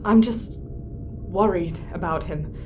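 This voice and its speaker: Actress as female astronaut counsellor: low register, careful, concerned, hesitant. Sci-fi audio drama with clear background noise. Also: slow pace. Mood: worried. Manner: careful, hesitant, quietly worried. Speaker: crew counsellor. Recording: noisy ambience.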